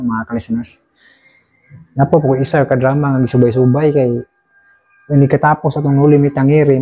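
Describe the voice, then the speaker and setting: A man's voice: medium pitch, warm tone, husky, poor audio. A man with a Filipino accent chatting casually to listeners as a host, rambling and often adding short tag questions. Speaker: uploader and host of drama channel. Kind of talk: casual unscripted chat to listeners.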